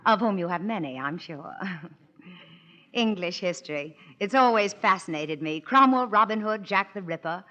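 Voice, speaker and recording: An actress playing a haughty young society bride in a 1940s radio play, arch and teasing. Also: female voice, mid-range voice, expressive, moderate pace, bright, forceful tone. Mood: mocking. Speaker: lead actress. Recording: dull 1940s radio broadcast.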